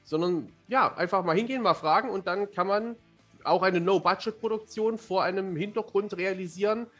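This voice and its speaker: A man's voice: high-pitched, moderate pace, a bit bright, faint music behind. A man speaking as a guest in a casual podcast conversation, chatty and self-deprecating. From Germany. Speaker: interview guest, fantasy author and amateur filmmaker.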